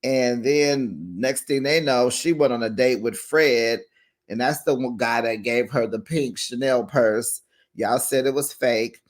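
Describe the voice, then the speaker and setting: A man's voice: medium pitch, steady tone, unhurried. A man hosting a casual recap show, chatty and gossipy, acting out quotes in a raised voice and laughing along.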